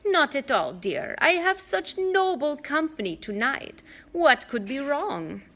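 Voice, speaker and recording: A woman voicing a soothing old female monster who poses as a kindly grandmother, with a slow, purring, coaxing manner, in a storybook reading. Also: sits high, very animated, fairly bright tone, voice pushed up, heavily acted. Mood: sweetly polite.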